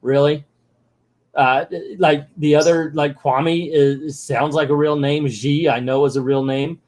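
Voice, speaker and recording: A man reviewing an old comic, talking in a loose, casual way. He keeps interrupting the story with sarcastic jokes, and his voice sits a little higher than most men's. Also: steady tone, a little slow, darkish tone. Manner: wry, sarcastic asides. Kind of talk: casual commentary reading a comic aloud.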